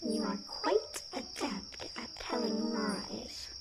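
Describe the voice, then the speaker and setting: A woman's voice: fairly high pitch, expressive, takes it slowly, heavy background noise. A woman playing a haughty, playful tree nymph in a fantasy roleplay audio drama, with an airy, theatrical, teasing way of talking. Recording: distant, echoey, loud night insect ambience.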